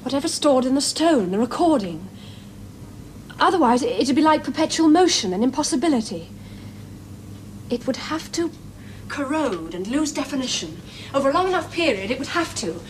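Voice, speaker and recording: A woman's voice: high-pitched, sing-song, normal speed, pauses often, a little hiss or hum, rough recording. A woman playing an anxious, insistent young scientist in a 1970s television drama, earnest and urgent. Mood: urgent reasoning.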